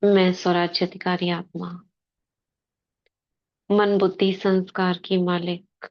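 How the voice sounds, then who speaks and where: A woman guides a spiritual meditation in a fairly low, very even voice. She speaks very slowly, in short devotional phrases separated by pauses. The recording is slightly dull and lo-fi. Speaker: meditation leader.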